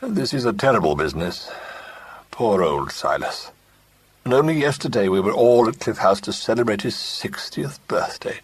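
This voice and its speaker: A man's voice: on the low side, breathy edge. A man voicing a pompous older businessman who talks ponderously with a false heartiness. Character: pompous older male company partner.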